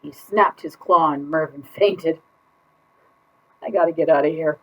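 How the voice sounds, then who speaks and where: A woman's voice: sits low, unhurried. A woman reading a children's picture book aloud as a put-upon goldfish keeping a diary, with exaggerated, exasperated emphasis. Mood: wary, grumbling. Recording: dull lo-fi sound.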